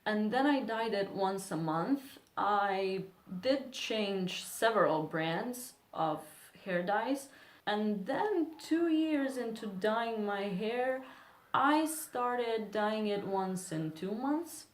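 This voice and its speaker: Early-20s female beauty vlogger, Serbian accent, unhurried and self-deprecating, roomy sound. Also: mid-range voice, lively intonation. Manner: chatty, apologetic, self-deprecating. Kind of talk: casual chat to camera. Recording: echoey room.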